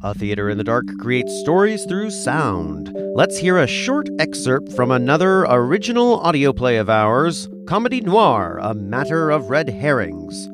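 A man's voice: medium pitch, wildly swooping pitch, measured pace, hardly any pauses. A man reading scripted announcements as the announcer for an audio production. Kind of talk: scripted narration. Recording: music bed behind the voice.

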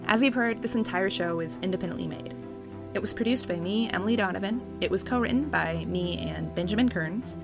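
A woman's voice: medium pitch, fairly quick, vocal fry. Woman reading podcast credits over prominent music. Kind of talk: scripted podcast outro.

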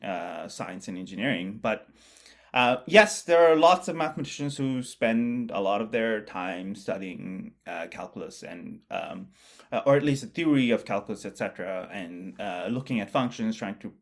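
A man from Bangladesh, co-hosting a casual podcast chat about math in a musing way that often trails off quietly. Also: fairly low pitch. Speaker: podcast co-host, mathematician.

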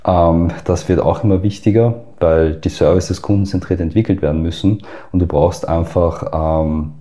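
A man's voice: low-pitched, steady tone, moderate pace, mellow. A man with an Austrian accent, a guest in an interview, explaining at length with frequent filler sounds. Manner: hesitant, many fillers. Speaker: guest expert.

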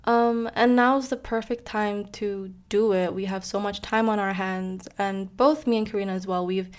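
A woman's voice: mid-range voice, fairly even intonation, normal speed, clear, resonant tone. A woman in her twenties from Indonesia, co-hosting a podcast in a casual, friendly chat with frequent fillers and light laughs. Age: 20s. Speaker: podcast co-host.

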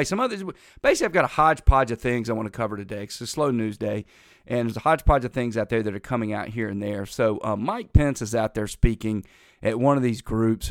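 Congested folksy talk host. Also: male voice, fairly low pitch, no echo, clean studio sound. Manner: rambling, folksy, addresses listeners as folks. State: congested from a lingering cold. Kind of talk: casual political talk monologue.

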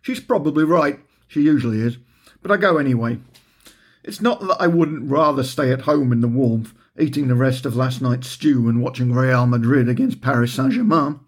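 A man's voice: medium pitch, lively intonation, normal speed, husky. A middle-aged man reading his own book aloud, telling the story in a dry, wry tone with understated humour. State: recovering from a heavy cold. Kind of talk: audiobook narration.

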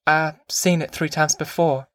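A performer voicing a cautious, worried country woman from a novel, speaking softly and hesitantly. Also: mid-range voice, steady tone, unhurried, no room sound, clean studio sound, close to an everyday voice. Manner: soft, hesitant.

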